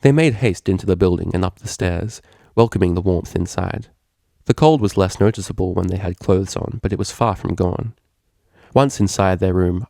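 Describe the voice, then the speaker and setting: A man's voice: sits low, creaky, dark tone, no room sound, clean studio sound. A man reading a story aloud as a scripted narration. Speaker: fan fiction reader.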